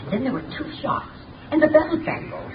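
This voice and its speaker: A woman's voice: high-pitched, wildly swooping pitch, brisk. An actress playing a nervous, deferential housekeeper in a 1930s radio drama, stammering through her answers. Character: nervous middle-aged female housekeeper. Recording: muffled noisy 1930s radio recording from a distance.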